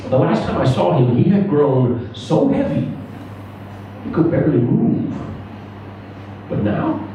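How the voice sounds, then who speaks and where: A man giving a live reading of a scripted first-person story, measured and deadpan with deliberate pauses. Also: a little high, muffled recording. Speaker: story reader. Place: indoor live reading before an audience. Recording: very echoey room, distant mic, faint noise.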